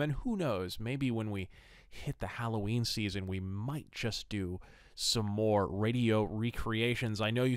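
A man's voice: medium pitch, a bit bright, smooth, dry recording, studio quality. A man hosting a review podcast, enthusiastic and semi-scripted, mixing ornate, wordy phrasing with blunt asides.

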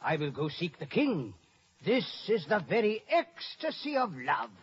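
A man's voice: sits high, sing-song, measured pace, slightly rough, full character voice. An actor playing a fussy, commanding older courtier father in a 1950s radio Shakespeare play, speaking emphatically. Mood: decisive, fussy. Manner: emphatic, theatrical. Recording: muffled old radio broadcast, faint noise.

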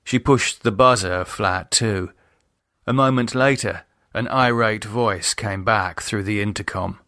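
Low-voiced British man narrating a novel. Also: measured pace, pushed and bright, dry recording, studio quality. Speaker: audiobook narrator and author. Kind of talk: dramatic scripted narration of a novel.

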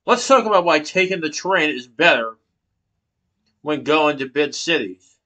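A man's voice: a little high, expressive, measured pace, pushed and bright. A man in his thirties giving a persuasive class speech into a laptop webcam in a small room, asking rhetorical questions. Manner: rhetorical questions, emphatic hand gestures. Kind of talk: persuasive speech, partly read from notes. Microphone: laptop webcam from below.

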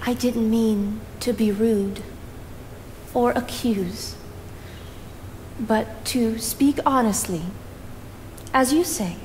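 A woman's voice: on the high side, extremely slow, pauses often, dark tone. A young actress on stage playing a sincere, spirited 19th-century woman with formal, careful phrasing. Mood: sincere, contrite. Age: young adult. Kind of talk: theatrical stage acting. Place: theatre stage, indoors. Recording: live theatre, reverberant, faint noise.

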